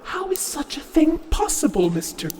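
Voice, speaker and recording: A woman playing a solemn, inquisitive weaver of fate in an audio drama, with measured, formal speech. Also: sits high, sing-song, a little mellow, slight background noise. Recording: echoey hall with faint music.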